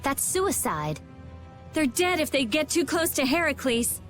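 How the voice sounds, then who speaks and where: An actress playing a calm, dry-humored young woman fighter in a video game cutscene. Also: sits high, measured pace, soft background music, heavily acted. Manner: cool and dry.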